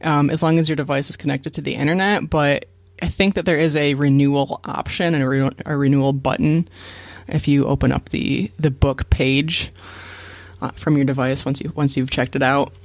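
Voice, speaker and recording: A man's voice: medium pitch, steady tone, moderate pace, dry recording. A man co-hosting a podcast, explaining things in a casual, matter-of-fact way. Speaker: podcast co-host.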